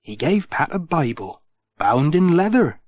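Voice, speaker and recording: A middle-aged man narrating a children's storybook in a gentle, expressive way. His voice rises and falls in a wide, sing-song melody at an unhurried pace. The recording is close and dry. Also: on the high side, no echo. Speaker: audiobook narrator.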